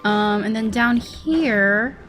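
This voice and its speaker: A woman with a scratchy voice, talking casually through a game walkthrough, rambling with many ums and quick laughs. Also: a little high, a bit bright, soft background music. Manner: rambling, lots of ums and self-corrections, laughs often. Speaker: gaming video creator.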